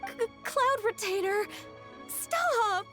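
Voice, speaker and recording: A woman voicing a gentle, courteous, dutiful young disciple in a video game, modest and earnest. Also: very high-pitched, animated, very slow, loud music behind the voice, heavily acted. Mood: embarrassed. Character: polite, gentle, dutiful young half-immortal female disciple.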